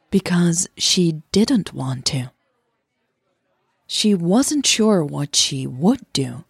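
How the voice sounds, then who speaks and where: Low, very husky, slow Dutch woman narrator. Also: no room sound, clean studio sound. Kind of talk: scripted fiction narration, romance audio drama.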